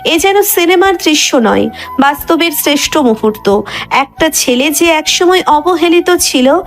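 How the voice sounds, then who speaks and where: A woman narrates a dramatic scripted story in a high voice. Background music plays underneath her telling. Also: animated, unhurried.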